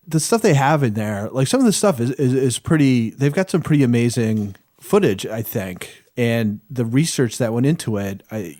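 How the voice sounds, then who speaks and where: A man on a podcast panel giving a casual opinion, halting as he searches for words. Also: medium pitch, darkish tone, dry recording, clean studio sound. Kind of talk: casual review discussion.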